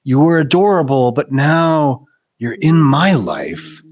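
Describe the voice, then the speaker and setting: A man's voice: fairly high pitch, slow pace, crowd noise. A man co-hosting a movie podcast, rambling with sardonic asides. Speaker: podcast co-host. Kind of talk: casual movie chat.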